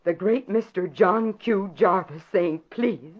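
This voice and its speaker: A woman's voice: mid-range voice, slow pace. An actress playing an embittered, defiant young woman secretary in a 1940s radio drama. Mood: bitter, defiant. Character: bitter, defiant young woman secretary, later weakly dying. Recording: dull vintage radio broadcast, crowd noise.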